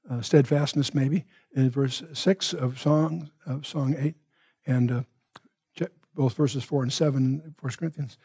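Man, Bible teacher, mellow tone, dry close sound, emphatic deliberate phrasing, expository teaching. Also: mid-range voice, slightly rough, no room sound.

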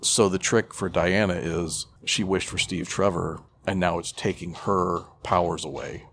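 A man's voice: deep voice, fairly even intonation, measured pace, vocal fry, a bit bright, a little hiss or hum. A man chatting casually as a podcast co-host, retelling a story in a profane, irreverent, rambling way. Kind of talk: casual chat, retelling a film plot.

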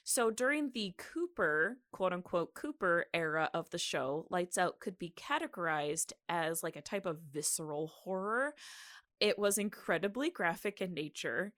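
A woman's voice: on the low side, animated, normal speed, fairly bright tone, no echo, studio quality. A woman co-hosting a podcast, telling researched history in an informal, conversational way. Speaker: podcast co-host. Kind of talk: casual podcast chat with researched history narration.

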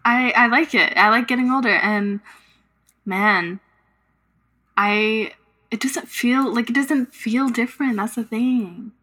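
A woman's voice: a little high, slow, sharp tone. A woman in her late twenties chatting casually as a guest on a podcast. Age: late 20s. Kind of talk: casual chat.

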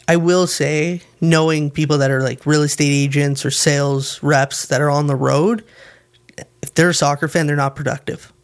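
A man's voice: on the high side, steady tone, moderate pace, clean tone. A man from Canada with a Canadian accent, chatting casually as a podcast co-host. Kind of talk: casual group chat about soccer.

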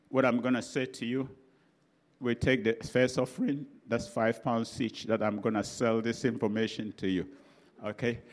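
An older man with a Ghanaian accent, telling a chatty anecdote in a sermon as a preacher. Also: mid-range voice. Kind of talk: sermon storytelling.

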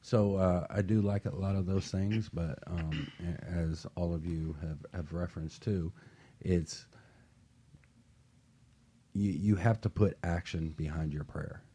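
Really deep guy, slightly husky, earnest halting panel talk. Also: fairly even intonation, warm tone. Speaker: panel guest. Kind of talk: casual panel discussion.

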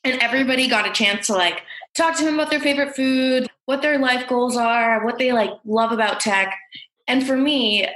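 A woman's voice: a little high, normal speed, a bit bright. Upbeat woman in an echoey room. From United States. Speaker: guest, tech worker and writer. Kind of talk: casual conversation. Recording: roomy echo.

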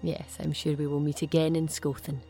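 A woman's voice: low-pitched, flat delivery, normal speed, mellow, faint music behind. Woman doing a desperate whispering bird lady. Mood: curt. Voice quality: whispery pleading, then commanding. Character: craving bird-woman noble, desperate then imperious.

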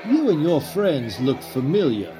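A man acting a suave, proud Confederate conspirator in a period drama, smooth-talking and probing, with music underneath. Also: fairly high pitch, wildly swooping pitch, a little slow. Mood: genial, sly.

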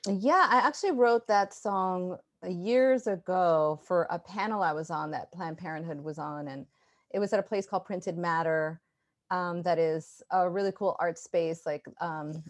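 Ny woman chatting on a video call, animated, lots of laughs, lowish voice. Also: measured pace. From New York, USA. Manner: animated, laughing often, restarts. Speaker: guest artist. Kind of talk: casual interview chat.

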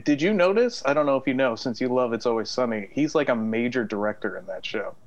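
A man's voice: medium pitch, lively intonation, fast talker, highs cut off. A man in a casual chat show, making dry, offhand remarks.

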